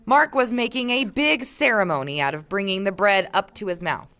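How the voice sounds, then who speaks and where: A woman reading aloud expressively as a teacher, pausing for casual discussion and guiding questions. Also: mid-range voice, fairly bright tone. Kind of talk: audiobook-style read-aloud with casual discussion.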